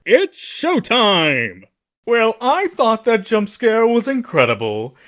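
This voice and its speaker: A man's voice: sits high, huge pitch swings, slow pace, fairly bright tone, exaggerated voice. An actor voicing a vain, bloodthirsty showman robot in a video game, with grandiose announcer flourishes. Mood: gleeful, grandiose. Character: hammy theatrical showman animatronic, vain and gleefully bloodthirsty. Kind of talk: video game character voice lines.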